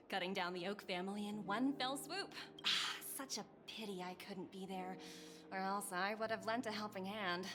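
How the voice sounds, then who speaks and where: A woman's voice: on the high side, noisy background. An actress playing a confident, teasing young woman in a video game, breezy and theatrical. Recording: music behind.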